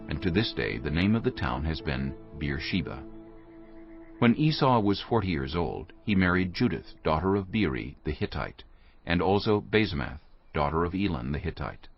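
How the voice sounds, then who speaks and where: A man narrating a dramatized scripture reading in a solemn storytelling style. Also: very deep voice. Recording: dull recording over soft music.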